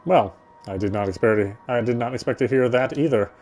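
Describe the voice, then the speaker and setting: A man's voice: medium pitch, a little fast, darkish tone, soft background music. A man reading first-person visual novel narration aloud in a conversational way, now and then stumbling and repeating himself.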